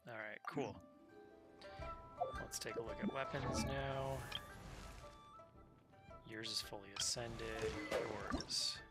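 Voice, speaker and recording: A man streaming a video game, chatting casually and relaxed with his viewers. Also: mid-range voice, takes it slowly, long pauses. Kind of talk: casual gameplay commentary. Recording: loud game music behind.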